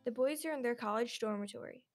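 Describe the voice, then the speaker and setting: A woman's voice: on the high side, quick pace. A young woman reading scripted narration for an amateur radio drama. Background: music with guitar under the voice.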